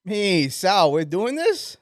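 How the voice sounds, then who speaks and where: A man, co-hosting a casual podcast in an easygoing way and chiming in with quick agreement. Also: sits high, very animated, music in the background. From Queens, New York. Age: 30s. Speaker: podcast co-host. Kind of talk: casual chat.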